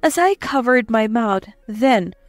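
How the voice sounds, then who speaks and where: A woman reading a first-person dramatic story as narrator, in an expressive, emotive way. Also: on the high side, measured pace, no echo. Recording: dry close voice over faint music. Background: soft background music.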